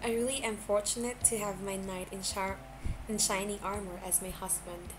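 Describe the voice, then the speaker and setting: A woman voicing a gracious, curious young man with a gentle, questioning manner in an amateur story reading. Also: mid-range voice, steady tone, normal speed, over music, lightly acted. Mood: soft, grateful. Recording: clearly noisy amateur home recording.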